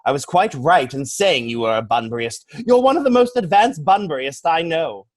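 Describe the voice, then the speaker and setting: A man playing a witty, flippant young English gentleman in a stage comedy reading, declaiming with pompous mock seriousness. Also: a little high, very animated, moderate pace. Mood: smug, teasing.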